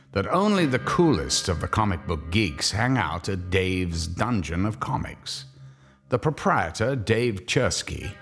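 A man playing the theatrical, deliberate, ominous narrator of a scripted radio horror story. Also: lowish voice.

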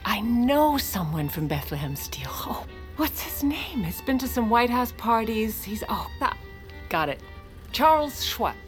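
An actress playing a sardonic, self-assured First Lady in her forties, narrating an audio drama with deadpan, haughty wit. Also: a little high, a little slow, faint music behind. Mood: smugly name-dropping. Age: 40s.

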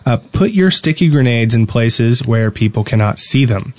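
A man's voice: medium pitch, expressive, moderate pace. An American man co-hosting a gaming podcast, chatting casually in a joking, talkative way. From New Jersey, United States. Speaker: podcast co-host.

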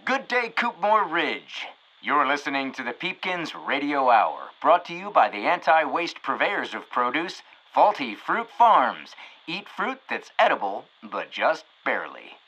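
Showy, bright-voiced small-town radio announcer. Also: male voice, a little high, sing-song, full character voice, low-quality recording. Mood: cheery. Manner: showy announcer delivery with dramatic pauses. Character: folksy small-town radio announcer, cheerfully upbeat man. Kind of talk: radio show hosting in audio comedy.

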